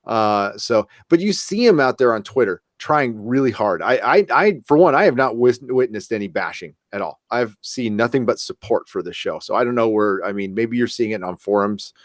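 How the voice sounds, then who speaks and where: A man's voice: lowish voice, expressive, normal speed, hardly any pauses, dry recording. Man with a dry, close podcast sound. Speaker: podcast host. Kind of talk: casual fan podcast discussion.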